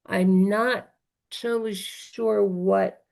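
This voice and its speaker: A woman giving an informal, explanatory webinar as a software trainer, phrasing things piece by piece and correcting herself as she goes. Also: medium pitch, vocal fry.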